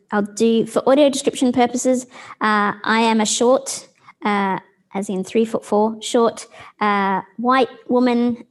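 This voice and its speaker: A woman's voice: fairly high pitch, a little mellow. A woman co-hosting a live arts talk, speaking informally with frequent ums and restarts to a room of people. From Australia. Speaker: arts organisation leader, co-host. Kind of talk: casual spoken presentation at a live event.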